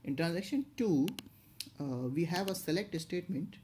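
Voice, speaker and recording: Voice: mid-range voice, moderate pace, darkish tone, a little hiss or hum. An instructor narrating an explanatory tutorial, going step by step with some hesitation and repetition.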